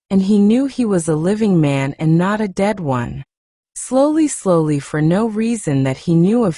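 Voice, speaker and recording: A woman narrating an audiobook as a soothing bedtime story, in a soft, measured, hushed manner with lingering pauses. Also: mid-range voice, no room sound, clean studio sound.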